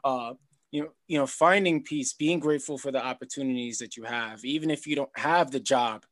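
A man's voice: medium pitch, brisk, fairly bright tone. A man hosting an interview podcast, talking in a rambling, conversational way and circling back on his words. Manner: rambling, conversational, repeats himself. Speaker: podcast host. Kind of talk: casual interview chat.

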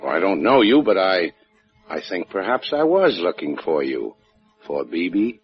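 A laconic, wisecracking American adventurer in a 1950s radio play. His lowish voice swings with wry, sardonic emphasis. The sound is dull and lo-fi. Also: very animated, a little slow, soft background music, exaggerated voice. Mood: wry, cool. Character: wisecracking, cool American adventurer and hotel keeper. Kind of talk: 1950s radio drama acting. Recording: dull lo-fi old radio recording.

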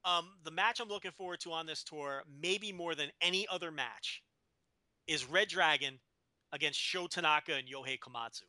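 A man in his thirties with a high, piercingly bright voice co-hosts a casual podcast. He jokes and laughs his way through the chat, cutting in over his partner. The sound is dry and close, with no room echo. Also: moderate pace. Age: 30s. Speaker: podcast co-host.

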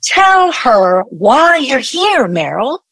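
Woman doing snarky hostile daughter in an audio drama, high pitched, blunt, super animated, dry sound. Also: very slow, no room sound, heavily acted, poor audio. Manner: blunt, cutting, sarcastic. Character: hostile, sarcastic elder daughter of a dead mogul. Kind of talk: audio play acting.

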